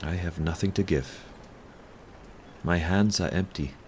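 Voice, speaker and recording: An actor playing a poor, gentle, lovelorn professor, humble and earnest, speaking haltingly in an audio drama. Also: male voice, sits low, husky.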